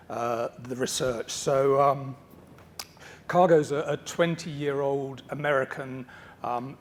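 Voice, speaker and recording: A man with a slightly croaky voice giving a chatty, self-deprecating conference keynote, full of ums and repeated words. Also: medium pitch. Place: conference stage.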